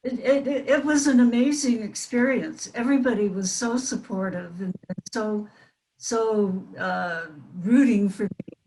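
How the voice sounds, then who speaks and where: A woman's voice: on the high side, a little slow, a little mellow, poor audio. An older woman, a former performer appearing as a panel guest, reminiscing casually and hesitantly.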